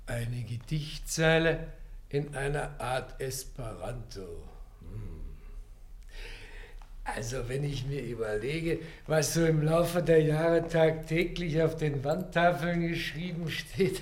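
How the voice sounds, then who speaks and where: An actor playing a nosy, good-humoured school caretaker in a drama, folksy and deferential, in a reverberant room. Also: male voice, on the high side, slow. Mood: curious, amused. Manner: folksy, musing, chuckling. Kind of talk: theatrical radio play dialogue. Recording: roomy, reverberant sound.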